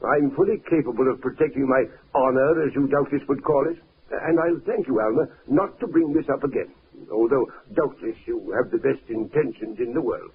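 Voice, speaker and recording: A man's voice: medium pitch, a little fast, lightly acted. A man playing an urbane, dignified middle-aged husband in a 1950s radio drama, dry, teasing and formal. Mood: firm, dignified. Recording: tinny, noisy 1950s radio recording.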